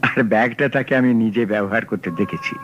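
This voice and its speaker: An actor playing a smooth, scheming male book collector in an old radio drama, suave and persuasive. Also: lowish voice, fast talker, fairly bright tone, highs cut off, close to an everyday voice. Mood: sly. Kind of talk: 1970s radio drama acting. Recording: old radio broadcast with faint hiss.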